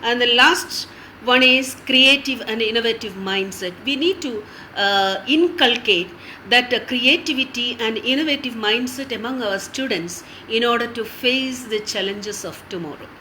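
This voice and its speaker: Education panelist, woman, bright and forceful, explains point by point, slight background noise. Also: on the high side, normal speed.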